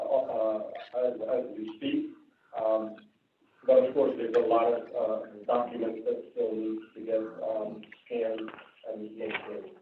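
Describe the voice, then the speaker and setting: Man with Indian accent on a tinny line. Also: lowish voice. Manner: reads from notes with frequent "uh" and restarts, adds casual asides. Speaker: board meeting presenter. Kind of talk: reading policy items at a public board meeting. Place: meeting room. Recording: distant, phone-like, roomy with faint hiss.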